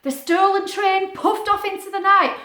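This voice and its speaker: A woman reading a picture book aloud to young children, expressive, with chatty asides and questions. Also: very high voice, moderate pace. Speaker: children's story reader. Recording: roomy, echoing sound.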